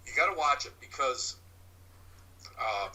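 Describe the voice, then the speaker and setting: A man with a loud voice, answering interview questions about his paranormal work in a rambling way, full of restarts and fillers. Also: mid-range voice, lively intonation, unhurried, poor audio. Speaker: paranormal investigator and medium. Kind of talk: interview guest, casual explaining.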